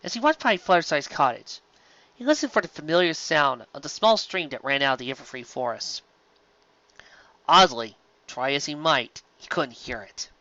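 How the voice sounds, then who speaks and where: A man narrates a story with expressive, animated delivery. His voice is bright and lively. The recording is dry and a little dull, like a home setup. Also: a little high, normal speed, no room sound.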